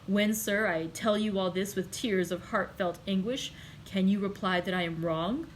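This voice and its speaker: A woman voicing a grief-stricken, angry young man, reading him with loud, impassioned, accusing outbursts in an audiobook. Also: medium pitch, moderate pace, a little hiss or hum, lightly acted, low-quality recording. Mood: anguished.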